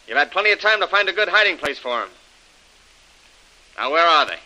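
A bullying, hard-boiled villain of an old radio serial, firing off clipped threats with gangster swagger. His voice is bright and cutting and sits a little high for a man. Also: very animated, brisk, full character voice, poor audio. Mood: threatening. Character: menacing male villain, tough-talking bully. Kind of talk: 1930s radio acting.

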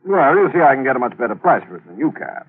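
An actor playing a sly, menacing male killer who mocks his victim in a slow, smug way, in 1940s radio acting. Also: mid-range voice, big pitch swings, husky. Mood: smug menace. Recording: tinny old radio broadcast.

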